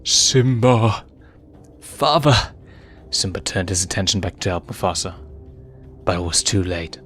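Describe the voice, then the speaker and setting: A man with a low voice narrates fiction from a script. His delivery is that of a dramatic storyteller, and music plays softly behind him. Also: level tone, brisk. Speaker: fan fiction reader and narrator.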